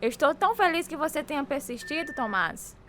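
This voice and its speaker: A woman's voice: high-pitched, animated, brisk, fairly bright tone, a little hiss or hum. A woman playing a supportive, devout wife with a Brazilian accent in a radio drama. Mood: encouraging. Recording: clinking dishes behind the voice.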